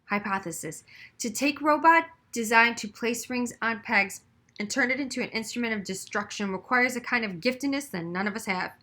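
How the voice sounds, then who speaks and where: A woman narrates a children's novel aloud. Her voice is bright and forceful, sitting a little high, and she tells the story expressively. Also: normal speed. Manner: narrates expressively, acting out dialogue. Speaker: audiobook reader.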